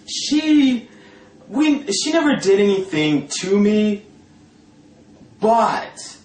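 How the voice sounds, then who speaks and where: A man telling a personal story informally in a sardonic, gossipy, coarse-mouthed and animated way. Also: high voice. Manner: animated, breaking often into laughter. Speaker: storyteller talking about a past religious community. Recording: roomy echo, distant mic, faint noise.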